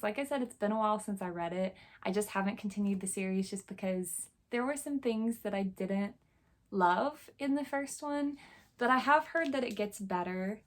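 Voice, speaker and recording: A woman with a hoarse, scratchy throat, chatting casually and enthusiastically about the books she bought, with plenty of fillers. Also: medium pitch. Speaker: book vlogger. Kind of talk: casual chat showing a book haul.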